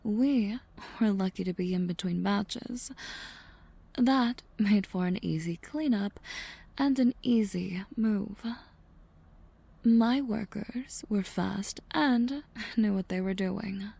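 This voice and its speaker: A woman playing an unruffled, laconic female underling in a crime audio drama, with a husky, breathy, creaky voice. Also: medium pitch, slow pace, dark tone, heavily acted. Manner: laconic, drawling. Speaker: voice actor. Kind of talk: audio roleplay drama acting.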